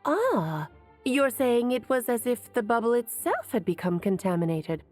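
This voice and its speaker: A woman's voice: fairly high pitch, big pitch swings, normal speed, a little mellow, soft background music. An actress playing a worldly, playful sorceress mother in a video game, teasing and eloquent in an airy, theatrical way. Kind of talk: video game character acting.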